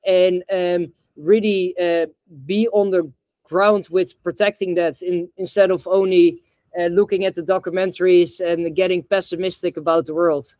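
A man's voice: high voice, level tone, normal speed, darkish tone, no echo. A young man from the Netherlands on a video call, talking hesitantly with lots of fillers and restarts as an interview guest. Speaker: guest, bamboo reforestation founder. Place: indoor room.

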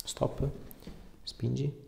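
A man in his thirties, a chiropractor in a small treatment room, giving a patient short, informal hands-on instructions. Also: very slow. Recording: roomy echo, clear background noise, clicks.

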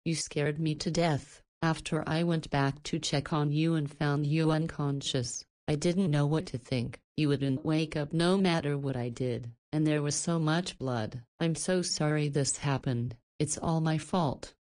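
A woman's voice: low voice, unhurried, hardly any pauses, darkish tone. A woman playing a caring, anxious middle-aged guardian in a visual novel, emotional and apologetic. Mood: distraught, tender.

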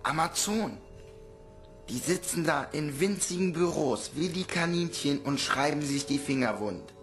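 A protective older orphan boy in a radio play. He is blunt, dry and skeptical, with music clearly behind his voice. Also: fairly high pitch, lively intonation, a little slow. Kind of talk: German audio drama acting.

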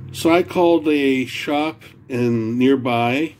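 A man talks through a home repair in a casual, halting way, pausing on fillers and repeating himself. His delivery is slow and unhurried at a mid pitch, picked up by a camera mic at his workbench. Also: darkish tone. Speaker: home repair video maker. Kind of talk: casual how-to explanation.

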